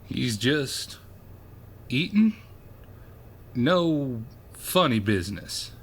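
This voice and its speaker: A man's voice: mid-range voice, sing-song, drawn-out words, slightly rough, full character voice. A man playing a wary, protective small-town diner cook in an audio drama, suspicious and terse. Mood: relieved.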